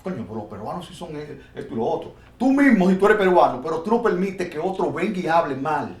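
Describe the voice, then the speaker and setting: A man's voice: on the high side, very animated, fast talker. A Dominican man with a Dominican Spanish accent, preaching his views animatedly in an informal Bible discussion, often restarting his sentences. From Dominican Republic. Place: New York. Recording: echoey room, faint noise.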